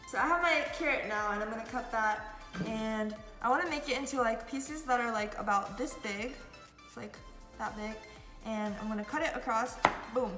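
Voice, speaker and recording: Woman, fairly high voice, casual chatty cooking tutorial, lots of fillers, roomy echo. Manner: chatty, loose, many fillers. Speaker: cooking vlogger. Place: home kitchen. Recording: echoey room with background music.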